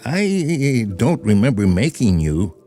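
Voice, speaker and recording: A man's voice: mid-range voice, sing-song, slow, mellow, soft background music, pitched above the performer's own voice. A man voicing a carefree, mischievous male monkey who made the world, talking in a playful, cocky way. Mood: cheerful.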